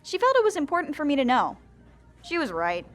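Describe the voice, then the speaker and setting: A woman's voice: high-pitched, huge pitch swings, a little fast, faint music behind. A woman playing a young female zombie who narrates her own story in an earnest, plain audio drama style. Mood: wry. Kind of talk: first-person scripted narration.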